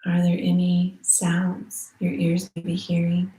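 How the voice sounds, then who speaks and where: Slow, mellow woman guiding a meditation. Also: on the low side, monotone. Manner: soothing, unhurried, with long pauses. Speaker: meditation teacher. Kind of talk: guided meditation. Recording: roomy echo.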